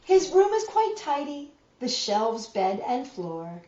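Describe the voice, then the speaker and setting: A woman hosts a storytime for children in a fairly high voice. She takes her time, speaking straight to young listeners as she reads and chats. The sound is quite echoey, as if in a bare room. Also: very animated, slow, dark tone, dull audio. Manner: addresses young listeners directly with questions. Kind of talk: children's storytime read-aloud and chat.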